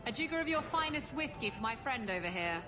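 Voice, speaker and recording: A woman's voice: on the high side, big pitch swings, fast talker. Cheeky pirate woman over music. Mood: teasing, relaxed. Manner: teasing, playful. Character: roguish, flirtatious female pirate captain, brash and cheeky. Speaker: video game voice actor. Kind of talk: bantering game dialogue. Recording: music behind the voice.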